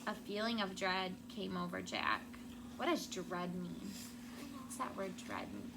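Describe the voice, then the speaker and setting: A woman's voice: mid-range voice, measured pace. Woman teacher reading aloud, noisy recording. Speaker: schoolteacher. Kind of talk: storybook read-aloud to children. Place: classroom. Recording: clear background noise.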